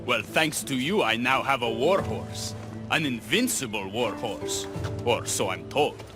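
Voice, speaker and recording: An actor playing a wry, lovelorn young rebel in a video game, speaking in a flowery, sardonic way. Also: male voice, medium pitch, animated, unhurried, over music, natural voice. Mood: conspiratorial. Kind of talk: video game cutscene acting.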